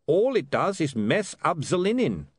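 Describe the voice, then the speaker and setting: A man's voice: a little high, big pitch swings, a little slow, breathy edge, no room sound, voice pushed up, full character voice. A man voicing a cheerful, unflappable male vampire who talks in a breezy, teasing way, in a dry, close recording. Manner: breezy, teasing, lightly sardonic.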